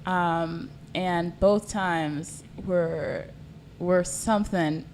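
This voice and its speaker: A woman's voice: on the low side, steady tone, drawn-out words, darkish tone, a little hiss or hum. A woman in her twenties from South Sudan, a podcast guest chatting casually and filling her talk with "like" and "um". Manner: chatty, full of fillers like "like" and "um". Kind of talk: casual chat.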